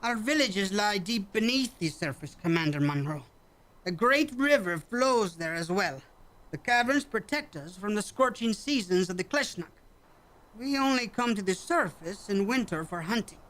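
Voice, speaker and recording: Alien village hunter-leader for sci-fi radio play, played by an actor: calm, formal, measured, stilted phrasing, medium pitch. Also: lightly acted, rough recording. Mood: solemn.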